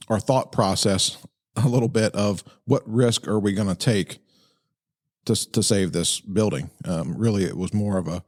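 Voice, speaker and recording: Low-voiced man talking shop. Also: darkish tone. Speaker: podcast host breaking down fire radio traffic. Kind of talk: conversational commentary.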